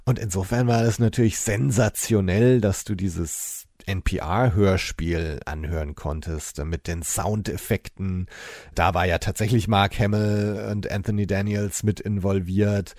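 Low, mellow man in relaxed discussion. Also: unhurried, dry recording, clean studio sound. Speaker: podcast guest. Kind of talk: casual podcast discussion.

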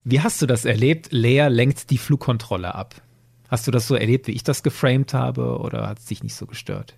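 A man's voice: medium pitch, moderate pace, creaky, darkish tone, breathy edge. A man hosting a podcast, giving his opinions analytically in a conversational review discussion. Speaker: podcast host.

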